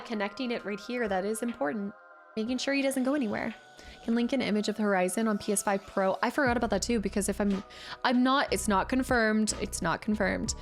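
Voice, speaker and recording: A woman hosting a casual live stream, chatting to herself and viewers as she works, sounding slightly congested. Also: mid-range voice. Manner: chatty, murmuring to self and replying to viewers. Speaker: livestream host. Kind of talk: casual live stream chat while building. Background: music.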